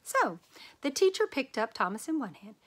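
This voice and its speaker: Lively, high-voiced woman reading a storybook. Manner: expressive, dramatic emphasis with drawn-out words. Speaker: storyteller reading a children's book aloud. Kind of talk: animated read-aloud of a picture book.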